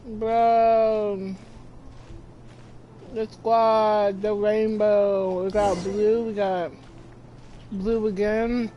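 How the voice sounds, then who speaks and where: A woman's voice: mid-range voice, flat delivery, drawn-out words, pauses often, muffled recording, a little hiss or hum. A teenage female chatting casually and reacting to a game in an offhand, slangy way. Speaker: game streamer. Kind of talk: casual gameplay reaction chat.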